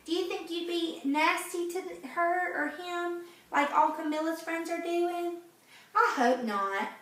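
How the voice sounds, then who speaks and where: A woman reading a picture book aloud to children in a gentle, teacherly way, pausing to ask them questions. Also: very high-pitched, distant mic. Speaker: read-aloud host for children. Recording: very echoey room, off-mic.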